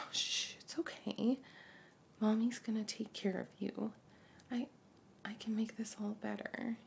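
A woman voicing a frightened, clingy mother sheltering at home after the world has ended, often dropping to a breathy whisper. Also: fairly high pitch, slight background noise. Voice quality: husky, breathy. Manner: breathy, hushed whispering mixed with pleading outbursts. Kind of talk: audio roleplay performance.

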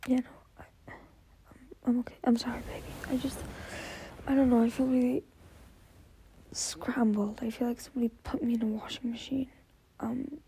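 A young woman speaking in an intimate, whispery monologue, halting and apologetic, anxious and close to tears. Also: on the high side, flat delivery, mellow, slight background noise, rough recording. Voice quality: breathy, whispery voice. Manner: halting, apologetic, stammering repetitions. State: anxious, tearful, close to crying.

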